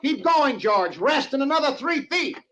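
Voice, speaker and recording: A man's voice: extremely high pitch, very animated, moderate pace, pushed and bright, heavily acted. An actor playing an earnest, stubborn young mountaineer in an old-time radio drama, dramatically telling of his climb. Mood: urgent, straining. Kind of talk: old-time radio drama acting and first-person narration. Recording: dull, noisy old radio recording.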